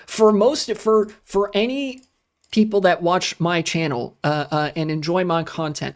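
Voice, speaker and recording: A streamer rants about politics in a loose, casual way. The delivery is sarcastic and full of swearing. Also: medium pitch. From United States. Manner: ranting, profane, sarcastic.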